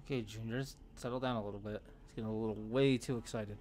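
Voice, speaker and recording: A man's voice: on the low side, steady tone, fast, loud music behind the voice. A man giving casual, rambling live commentary as he streams a video game, with a laid-back manner.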